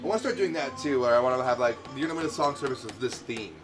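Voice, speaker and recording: A young man co-hosting a casual stream, rambling and excitable with plenty of slang. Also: medium pitch, rapid-fire. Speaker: co-host of a streamed cooking show. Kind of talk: casual chat. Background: music playing.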